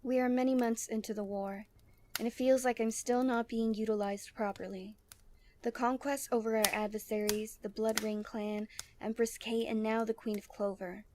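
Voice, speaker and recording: A woman's voice: fairly high pitch, fairly even intonation, moderate pace, warm tone, slight background noise, natural voice. A woman playing a proud, outspoken male general in a fantasy audio roleplay, speaking formally with indignant challenge. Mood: restrained frustration.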